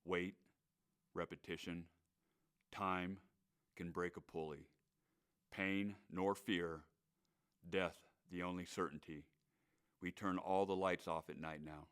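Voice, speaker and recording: A man reciting spoken-word poetry with measured, grave phrasing. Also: sits low, fairly even intonation, fairly bright tone, clear, resonant tone. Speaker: poet.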